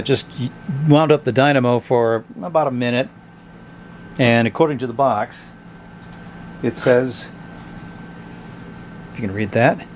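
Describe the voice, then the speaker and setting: A man talks through a review of a cheap radio in an offhand, blunt way, thinking aloud as he goes. His voice sits in a middle pitch. A faint hiss runs under it. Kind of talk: casual product review. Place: indoors at a tabletop.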